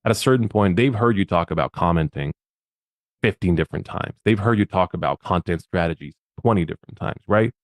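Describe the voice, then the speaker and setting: A man's voice: deep voice, expressive, fairly quick, no echo. A man from Bosnia, a podcast guest, gives social media advice in a casual, emphatic way and often repeats his words.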